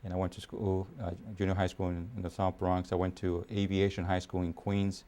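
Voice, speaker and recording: Man in his fifties, deep and mellow voice, army officer recounting his career, pausing often. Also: monotone. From Puerto Rico, raised in New York City. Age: 50s. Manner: measured, with frequent pauses and fillers. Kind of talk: documentary interview.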